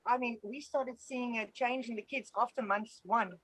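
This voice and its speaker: This is a woman whose first language is Afrikaans, speaking in a slightly high voice. She is a mother answering interview questions, earnest and grateful, and she often restarts her sentences. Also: steady tone, normal speed, a bit bright, low-quality recording. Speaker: homeschooling traveling mother.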